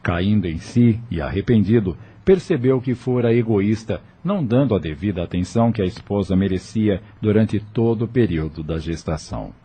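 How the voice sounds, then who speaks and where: A man's voice: lowish voice, animated, moderate pace, few pauses, warm tone, slightly rough. A man narrating a scripted audio novel in a dramatic storytelling manner.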